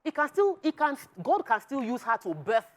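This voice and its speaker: A woman's voice: high voice, very animated, normal speed. A woman with a Nigerian accent speaking emphatically and preachily in a panel discussion, slipping into Pidgin. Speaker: talk show panelist.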